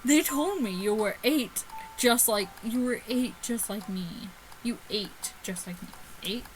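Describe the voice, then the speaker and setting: A woman's voice: fairly high pitch, measured pace, low-quality recording. A woman giving casual, sweary let's play commentary and reading a visual novel aloud, giggly and stumbling over the text. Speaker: gaming YouTuber. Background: music behind the voice.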